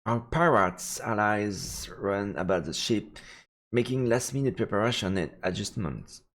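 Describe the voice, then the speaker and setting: A man's voice: lowish voice, expressive, unhurried, fairly bright tone. A man reading visual novel narration aloud as he streams a let's play. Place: indoors, streaming setup. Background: faint game music.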